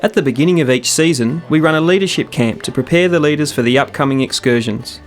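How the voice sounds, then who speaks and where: A man, a parks program officer, explaining clearly in a documentary interview. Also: fairly high pitch, quick pace, faint music behind.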